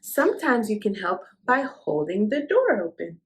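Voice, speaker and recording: A woman's voice: mid-range voice, sing-song, normal speed. A woman reading a picture book aloud to young children, speaking in an encouraging, instructive way and addressing the listener directly. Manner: encouraging, instructive, asks the listener questions and affirms. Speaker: host of a children's read-aloud show.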